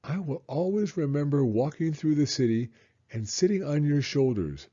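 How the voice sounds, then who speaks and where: A man's voice: fairly high pitch, lively intonation, moderate pace, mellow, dull audio. A man voicing a cheerful young boy in a storybook, talking eagerly and affectionately to his dad. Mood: affectionate, wondering.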